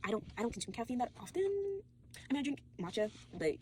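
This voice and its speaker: A woman's voice: fairly high pitch, very animated, brisk, darkish tone, slight background noise. A tired, sore teenage girl rambling casually to a phone, full of fillers, restarts and swearing. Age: late teens. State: fatigued and sore. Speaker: vlogger.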